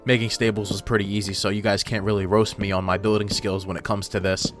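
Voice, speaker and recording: A man's voice: fairly low pitch, level tone, fast talker. A man narrating gameplay in a casual, informal way with wry asides, his voice run through a voice filter. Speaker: gaming video host. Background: background music.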